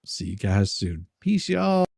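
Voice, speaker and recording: A man's voice: lowish voice, very animated, slow pace, creaky, a little mellow, slightly rough, no echo, clean studio sound. A man hosting a casual livestream, rambling and repeating his words, with a crude, joking streak. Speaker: livestream host.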